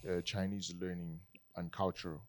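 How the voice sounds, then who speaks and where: A man's voice: sits low, little pitch movement, normal speed. A man in a casual panel chat, agreeing and interjecting often.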